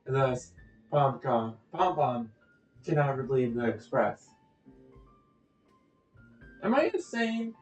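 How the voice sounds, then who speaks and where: A man's voice: medium pitch, big pitch swings, slow, long pauses, distant mic. A man giving casual let's-play commentary, rambling and thinking aloud as he plays. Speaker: game streamer playing through a story quest. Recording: echoey, dull audio with music. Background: game music.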